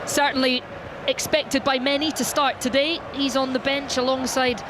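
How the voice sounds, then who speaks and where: A woman giving play-by-play commentary on a live football match, rising to shouts when the ball reaches the goalmouth. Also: sits high, flat delivery, moderate pace. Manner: rises to shouts at goalmouth action. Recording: noisy background.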